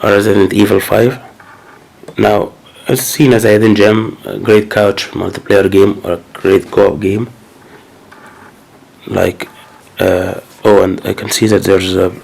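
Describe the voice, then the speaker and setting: A man's voice: fairly low pitch, creaky, a little mellow, rough recording. A man with a cold, talking casually and hesitantly as he goes through his new game collection. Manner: hesitant, many fillers and restarts. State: sick with a cold. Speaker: gaming channel host. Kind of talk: casual unboxing commentary.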